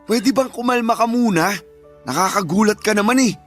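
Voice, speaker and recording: An actor plays a cheating live-in boyfriend, shameless and evasive. His voice is high for a man, with a whiny, defensive delivery in radio drama style over faint music. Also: moderate pace, lightly acted. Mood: startled, pleading. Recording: music behind the voice.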